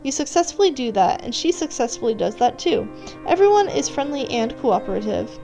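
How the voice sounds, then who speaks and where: High-voiced woman narrating a story. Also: very animated, a little fast, creaky, dark tone. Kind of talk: scripted second-person story narration with commands. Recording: music playing behind. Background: background music.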